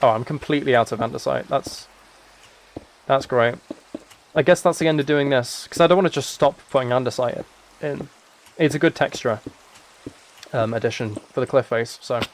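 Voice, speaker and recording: A man's voice: mid-range voice, moderate pace, pauses often. Casually rambling young British man. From United Kingdom. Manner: rambling, thinking aloud. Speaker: live streamer. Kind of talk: casual chat while streaming a game.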